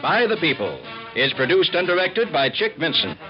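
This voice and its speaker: A man's voice: on the high side, sing-song, normal speed. An American man playing an earnest, solemn narrator in an oratorical mid-century radio drama style. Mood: formal. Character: Dan Taylor, earnest male radio narrator of patriotic civics. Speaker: lead actor and announcer. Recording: muffled old radio recording, music behind.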